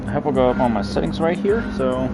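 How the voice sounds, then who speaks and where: A man's voice: medium pitch, brisk. Hesitant man co-commentating sim racing. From Germany. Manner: relaxed, searches for words, hesitant. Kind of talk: live esports race commentary. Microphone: headset. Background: music and racing car sounds.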